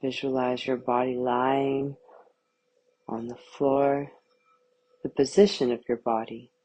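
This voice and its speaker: A woman guiding a yoga nidra meditation with calm, soothing, measured scripted instructions. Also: extremely low pitch, highs cut off.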